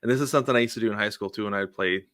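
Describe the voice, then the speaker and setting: A man with a somewhat low voice chats casually as a sports podcast co-host. The sound is close and dry, and his delivery is informal and anecdotal, sprinkled with "like" and "you know". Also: very fast, fairly bright tone, no echo.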